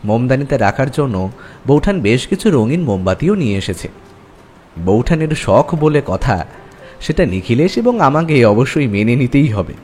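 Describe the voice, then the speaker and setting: Animated male storyteller, faint noise behind. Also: mid-range voice. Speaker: narrator. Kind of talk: scripted first-person story narration.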